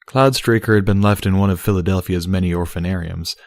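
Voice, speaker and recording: A man's voice: low-pitched, fairly even intonation, a little fast, no room sound, studio quality. A man reading a story aloud as scripted fiction narration. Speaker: story reader.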